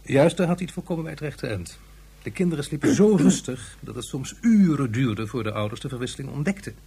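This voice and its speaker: Scientific official: male, very husky, mid-pitched, formal, deliberate, deferential radio drama delivery. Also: expressive, dark tone, full character voice. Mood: earnest, reporting. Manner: formal, deliberate, hesitant, throat-clearing. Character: earnest male scientific official reporting to superior. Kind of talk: science fiction radio play acting.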